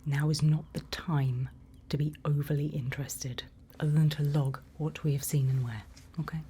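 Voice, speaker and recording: A woman performing a whispered ASMR roleplay as a calm night-watch guide, breathy, instructive and reassuring, close to the microphone. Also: low-pitched, moderate pace. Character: calm female night-watch guide in a dark monster-filled forest. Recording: faint background ambient noise.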